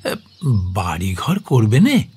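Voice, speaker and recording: An actor playing a warm, hospitable elderly village uncle in an audio drama, hesitant and avuncular. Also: male voice, lowish voice, huge pitch swings, slow pace, slightly rough, heavily acted. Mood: pleased, chiding. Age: older.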